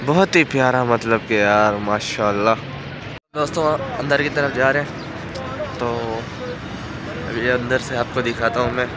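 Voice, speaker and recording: A man's voice: medium pitch, normal speed, long pauses, noisy background. A young man filming a casual travel vlog on a phone held at arm's length, walking around a mosque and repeating words of awe. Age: young adult. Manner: warm and awed, repeating blessings. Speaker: travel vlogger. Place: outdoors at a large mosque, then inside its hall. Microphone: handheld phone camera at arm's length.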